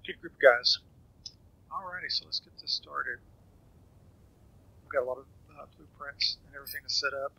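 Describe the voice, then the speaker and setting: Raspy, bright-voiced man explaining a game. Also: medium pitch, moderate pace, pauses often, rough recording. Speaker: gamer giving a tutorial. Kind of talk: casual game walkthrough commentary.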